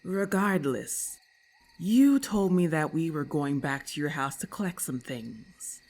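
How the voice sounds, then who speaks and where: A woman's voice: deep voice, animated, slow, darkish tone, full character voice. A woman voicing a haughty, irritable lamia in an ASMR roleplay, with hissing, imperious and formal speech, scornful and menacing. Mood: disdainful.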